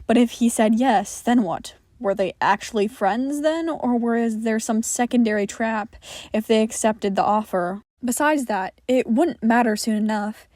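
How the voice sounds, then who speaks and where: A woman's voice: a little high, a little slow, a little mellow, no room sound, studio quality. A woman reading scripted fiction aloud as the narrator of a story recording. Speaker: podfic narrator.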